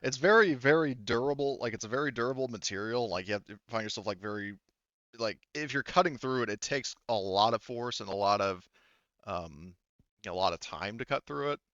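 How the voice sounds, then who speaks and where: A man narrating a tabletop roleplaying game as game master, halting as he thinks aloud, through a muffled mic. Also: mid-range voice, a bit bright, no echo.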